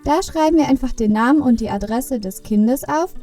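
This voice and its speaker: A woman playing an excitable, eager little Christmas elf in a children's audio play. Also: sits high, normal speed, recorded from a distance, faint music behind. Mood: keen.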